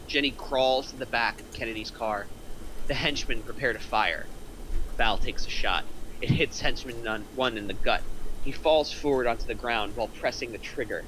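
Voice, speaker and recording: A man's voice: medium pitch, background noise. A man reading stage directions as the narrator at a script table read.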